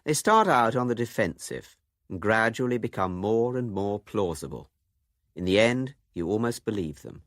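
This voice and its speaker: A man's voice: on the low side, expressive, normal speed, no room sound. A man presenting a radio documentary in scripted, literary narration with dry humour.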